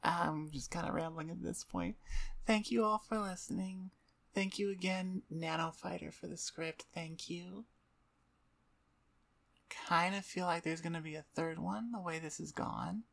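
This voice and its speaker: A woman's voice: on the low side, steady tone, a little slow, vocal fry. A woman chatting informally and self-deprecatingly as channel host, rambling casually close to her microphone. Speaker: ASMR voice performer, channel host. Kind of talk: casual rambling outro chat. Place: on the floor in front of a computer desk. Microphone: microphone about twelve inches away at eye level.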